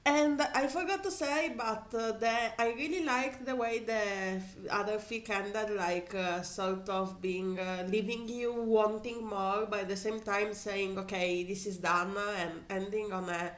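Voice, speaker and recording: A woman reading a story aloud casually, in an animated way, breaking off to add comments of her own. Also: medium pitch, unhurried, few pauses. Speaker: fan fiction reader.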